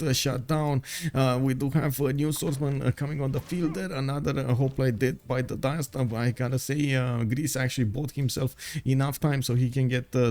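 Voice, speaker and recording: Halting, filler-heavy male game caster. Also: mid-range voice. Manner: bursts of excited exclamations. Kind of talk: live game commentary.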